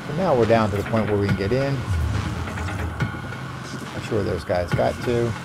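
A man's voice: lowish voice, normal speed, creaky, heavy background noise. A man giving casual walkthrough commentary on a video game, explaining his route and thinking aloud as he plays. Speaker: gamer narrating a walkthrough. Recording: loud music over the voice. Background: loud game music and sound.

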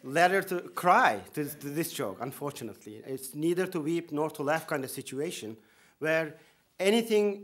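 A man in his thirties with a Turkish accent, an imam giving earnest, emphatic opening remarks with dry humor at a conference podium in a lecture hall. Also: on the high side. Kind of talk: conference speech. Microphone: podium microphone.

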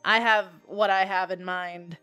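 A woman's voice: mid-range voice, fairly even intonation, unhurried, pushed and bright, smooth, faint music behind. A young woman rambling casually to viewers in an informal, self-deprecating, giggly manner, as a video creator. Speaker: video creator reviewing software. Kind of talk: casual rambling chat to viewers over a screen recording.

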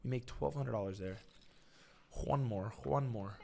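Young male gambling streamer, average pitch, loose slangy commentary with exasperated complaints. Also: unhurried, creaky, a little mellow, a little hiss or hum. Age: young adult. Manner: slangy, exasperated griping at the game. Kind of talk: casual live-stream commentary. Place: indoor room, webcam streaming setup.

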